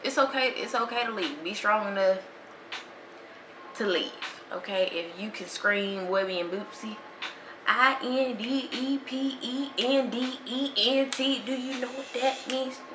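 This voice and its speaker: A woman's voice: a little high, a little slow. A woman, talking casually and with animation as a podcast host in a slangy monologue. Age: 20s. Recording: echoey room with faint noise.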